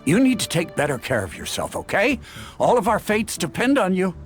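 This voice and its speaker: A man's voice: sits high, huge pitch swings, fairly quick, faint music behind. An actor voicing an easygoing, caring male villager in an anime game, familiar and coaxing in manner. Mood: concerned, friendly.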